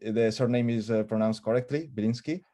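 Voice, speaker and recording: A man's voice: medium pitch, fairly quick, mellow. A man hosting a casual web interview over a remote call, hesitant with many fillers and frequent laughs. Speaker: interviewer host. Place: virtual studio, remote call.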